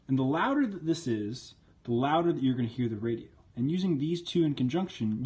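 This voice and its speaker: A man's voice: medium pitch, darkish tone. A man presenting an informal hands-on demonstration, explaining things step by step in a plainspoken way. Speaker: presenter demonstrating vintage military radio equipment.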